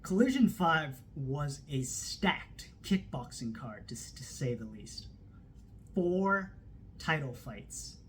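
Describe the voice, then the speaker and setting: A man's voice: on the high side, very animated, slow, a little hiss or hum, low-quality recording. A man hosting a solo combat sports podcast, recapping fight results in a casual, unscripted way with plenty of fillers and self-corrections. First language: not Turkish. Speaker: podcast host. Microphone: phone camera.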